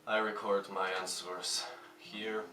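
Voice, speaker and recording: Shy, halting man in an echoey room. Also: lowish voice, flat delivery. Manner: shy, halting, many fillers and restarts. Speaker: musician answering fan questions. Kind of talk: hesitant casual talk to camera. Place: at home. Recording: echoey room, mic at a distance, music playing. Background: music.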